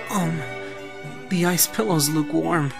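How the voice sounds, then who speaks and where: A woman's voice: deep voice, creaky, exaggerated voice. A woman voicing a melodramatic, whiny rich schoolboy who is sick in bed, theatrical and plaintive, in a manga fan dub.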